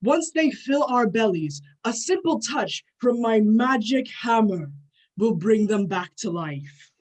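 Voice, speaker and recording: A woman's voice: fairly high pitch, lively intonation, slow, poor audio. A woman playing a courteous but short-tempered male god with formal, grandiose speech in a play reading. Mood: gracious.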